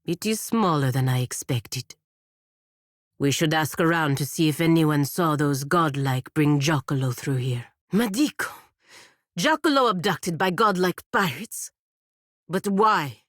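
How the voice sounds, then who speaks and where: A woman playing a proud, stern godlike warrior in a fantasy video game, speaking in a formal, measured and curt manner. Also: deep voice, lively intonation, no echo, studio quality. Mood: concerned, wary.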